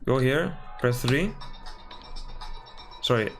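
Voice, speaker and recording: Spanish-accented guy building a game live, talks slow, muttering through steps, faint music behind. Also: medium pitch, lively intonation, vocal fry, fairly bright tone. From Spain. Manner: mutters through steps, apologizes and corrects himself often. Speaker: game developer streamer. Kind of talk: live-streamed game development, thinking aloud.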